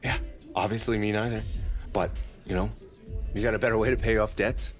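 An actor playing a cocky, dim young schemer in a comedic audio drama, breezy and slangy. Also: male voice, on the low side, steady tone, a little fast, faint music behind, lightly acted. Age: young adult. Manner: breezy, self-assured, slangy.

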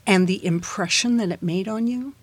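A woman's voice: mid-range voice, normal speed, breathy edge, no room sound. Female interviewer, reads intro then asks questions, dry close mic. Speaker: host. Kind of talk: radio interview.

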